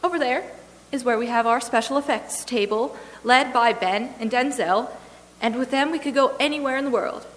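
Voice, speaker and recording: High, bright-voiced woman presenter in a hall. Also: moderate pace. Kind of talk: scripted stage introduction to a live radio play. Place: stage in a hall, live audience. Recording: echoey hall reverb, hissy background noise.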